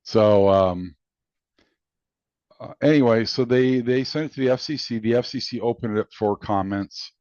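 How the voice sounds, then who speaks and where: A man hosting a hobbyist video, giving casual, unscripted commentary in a halting, rambling way. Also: mid-range voice, darkish tone, dry recording. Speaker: video host and amateur radio hobbyist. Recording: dull lo-fi sound.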